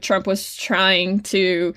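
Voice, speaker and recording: A woman's voice: mid-range voice, little pitch movement, very slow, pushed and bright. A woman co-hosting a podcast, explaining things in a loose, chatty way. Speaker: podcast co-host. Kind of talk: casual chat.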